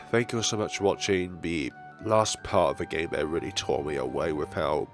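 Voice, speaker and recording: A man talking in an earnest, reflective, halting way as a gaming channel host. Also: extremely low pitch, faint music behind. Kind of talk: reading visual novel text, reflective commentary.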